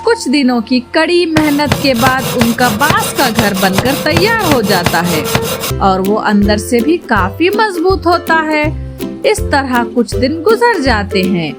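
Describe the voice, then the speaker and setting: A woman narrates a children's story in a fairly high, very animated voice. She takes her time with the scripted lines, and loud music plays behind her. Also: takes it slowly.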